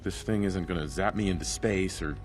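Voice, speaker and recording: A man's voice: deep voice, fairly quick, close to an everyday voice. An actor playing an earnest, weary survivor and father in a game, plain and sincere. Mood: wary. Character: earnest, battle-tired male survivor hero and father. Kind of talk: game cutscene acting. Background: music.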